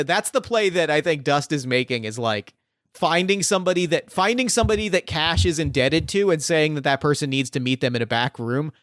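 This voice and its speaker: A man improvising narration as a game master in a tabletop roleplaying game, haltingly thinking aloud as he builds each detail. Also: on the high side, a bit bright, dry recording, clean studio sound.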